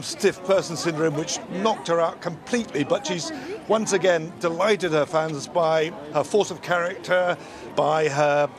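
A male correspondent giving a live news report outdoors in a city street, fluent and unhurried, sometimes searching for words. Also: on the high side. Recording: loud crowd behind. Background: crowd of fans.

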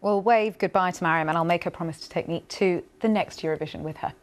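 A woman's voice: fairly low pitch, fast. A woman presenting television news in a studio, reading stories with light conversational asides.

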